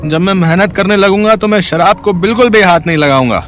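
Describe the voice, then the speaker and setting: A contrite poor fellow played by a man speaks in a high, earnest, pleading voice. Music plays behind this moral-story acting. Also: brisk, a bit bright. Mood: eager, grateful. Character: contrite, eager drinking poor man promising reform.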